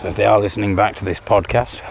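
Man, podcast host, low voice, wry punning chat on a walk, loud street noise. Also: fairly even intonation, fast talker, creaky. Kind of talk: casual chat while out walking. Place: outdoors on a street.

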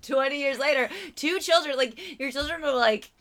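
A woman's voice: high voice, a bit bright. A young woman from Virginia with a Southern accent, chatting and joking playfully and laughing often. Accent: Southern American. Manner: giggly, playful, quick to laugh. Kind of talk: casual comedic chat.

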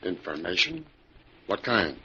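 An actor playing a bluff, businesslike mill boss in old-time radio style, hearty and raspy. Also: male voice, sits low, big pitch swings, unhurried, sharp tone, heavily acted. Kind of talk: 1940s radio acting. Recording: dull old radio recording.

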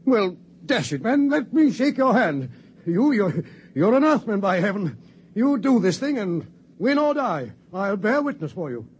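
An actor playing a gruff, hearty older colonel in a 1950s radio drama. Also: male voice, high-pitched, very animated, measured pace, muffled recording. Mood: bluff. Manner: bluff, exclaims "dash it". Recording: noisy 1950s radio broadcast.

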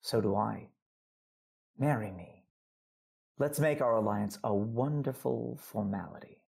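Casting: devoted husband figure, husky and creaky voice, earnest, deliberate audio drama delivery. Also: male voice, on the low side, darkish tone, heavily acted. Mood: earnest, persuasive. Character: earnest, devoted male lover and secret husband.

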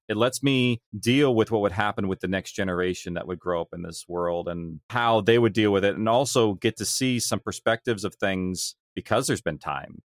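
A man's voice: fairly low pitch, no echo, clean studio sound. A middle-aged man speaking conversationally as an interview guest, explaining his creative work. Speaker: audio drama writer and producer, interview guest.

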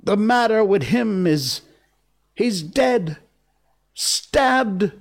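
A man voicing a frightened storybook pirate, trembling and high-strung, with a hollow tone. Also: animated, very slow, full character voice. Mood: horrified. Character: terrified Italian pirate, brave man reduced to gibbering.

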